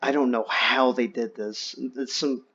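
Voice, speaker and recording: A man chatting and telling stories casually, with animated reactions, swearing and restarts, over online voice chat. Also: mid-range voice, measured pace, breathy edge. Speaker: host. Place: online voice chat in a VR social game. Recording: dull lo-fi voice-chat audio.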